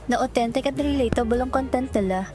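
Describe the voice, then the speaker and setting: A woman's voice: sits high, fairly quick, music in the background, lightly acted. A woman playing an eager young employee with a Filipino accent in a romantic audio drama, polite to her boss and secretly smitten. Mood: earnest, professional. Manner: polite and deferential, with flustered girlish asides. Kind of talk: romantic audio drama acting, Tagalog-English mix.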